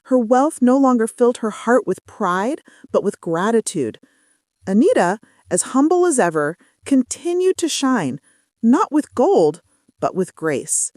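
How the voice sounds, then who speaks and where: A female storyteller reads a scripted folktale in a fairly high voice. Her intonation swings widely as she shapes each phrase with measured drama. The recording is dry and close, free of room sound. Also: big pitch swings, darkish tone, dry recording.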